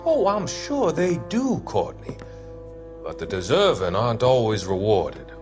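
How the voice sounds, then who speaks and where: Smooth, formal, calculating doctor, lively intonation. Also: male voice, medium pitch, music in the background. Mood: soothing concern. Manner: measured, formal, wordy and patronizing. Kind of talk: video game dramatic acting.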